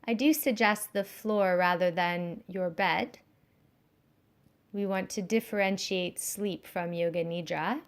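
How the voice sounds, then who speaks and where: A woman giving guided yoga nidra instruction in a soothing, measured, gently instructive way. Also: fairly low pitch.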